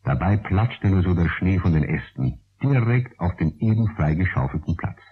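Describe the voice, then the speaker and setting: A low-voiced man narrates a children's radio play with lively swings in pitch. The old recording is narrow and phone-like. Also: moderate pace, breathy edge, full character voice. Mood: storytelling. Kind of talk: scripted storytelling narration.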